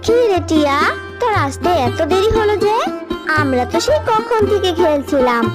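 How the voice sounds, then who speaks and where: A woman's voice: very high voice, sing-song, moderate pace, over loud music. A woman voicing a mildly reproachful young girl animal in a children's cartoon, childlike and complaining.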